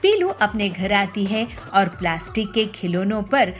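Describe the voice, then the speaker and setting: A woman narrating a cartoon moral story for children in a lively storytelling manner. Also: medium pitch, unhurried, faint music behind.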